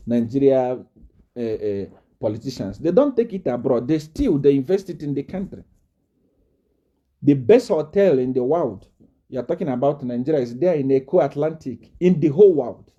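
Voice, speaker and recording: Fiery, animated male preacher. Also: medium pitch, breathy edge, dull audio. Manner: fiery, repetitive, rising to shouts and laughter. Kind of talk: impassioned live-stream address.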